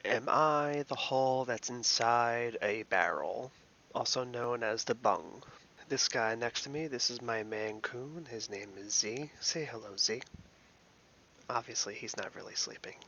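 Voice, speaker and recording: A man from Philadelphia hosting a casual commentary, reading out an online post and rambling through his thoughts with many fillers and soft whispered asides. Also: medium pitch, measured pace, highs cut off. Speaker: host.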